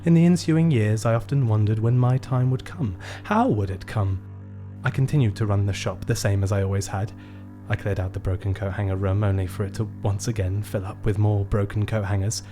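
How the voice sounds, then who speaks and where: An actor playing a lonely, gloomy shop owner who narrates his tale in a measured, melancholy, theatrical way for a radio play. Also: lowish voice, fairly even intonation, close to an everyday voice. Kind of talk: scripted first-person radio play narration. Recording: music bed behind the voice.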